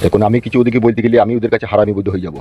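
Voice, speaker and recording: A man's voice: lowish voice, fairly even intonation, very fast, warm tone, husky. A man voicing a resigned, henpecked older village husband in a comedy cartoon. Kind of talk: comic cartoon dialogue. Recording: dull lo-fi sound with music behind.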